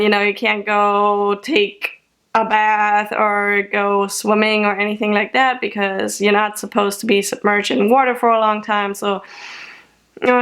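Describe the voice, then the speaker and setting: A woman's voice: medium pitch, a bit bright. A young German woman chatting casually to camera, rambling and laughing often. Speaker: vlogger.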